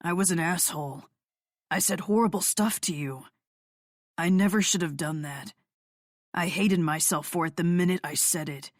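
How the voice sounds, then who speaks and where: A woman's voice: on the low side, normal speed, no echo, clean studio sound, voice pushed down, close to an everyday voice. Woman voicing a weak, hollow-voiced sick young man.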